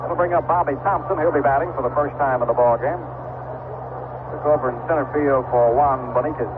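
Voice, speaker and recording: A man calling baseball play-by-play on live radio in a measured, descriptive way with conversational asides. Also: mid-range voice, narrow, tinny audio. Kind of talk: live radio sports commentary. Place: outdoor ballpark broadcast. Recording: noisy vintage 1970s AM radio broadcast.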